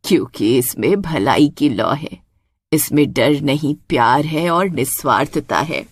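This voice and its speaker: Woman as old storybook witch, female, low-pitched, slow and halting, sad, gentle, lonely, dry close sound. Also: lively intonation, slightly rough, no echo, heavily acted. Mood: gentle, serene. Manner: slow, halting, weary delivery.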